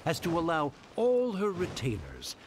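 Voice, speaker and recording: An actor playing a solemn, grandiose storybook narrator in a video game, stately, reverent and theatrical. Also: male voice, fairly high pitch, huge pitch swings, normal speed, noisy background.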